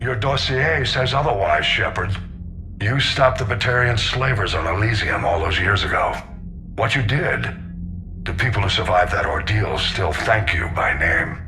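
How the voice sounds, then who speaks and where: A man's voice: lowish voice, lively intonation, moderate pace. A man playing a stern, plainspoken older fleet admiral who mentors, in a video game. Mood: grave, encouraging. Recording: clear background ambient noise.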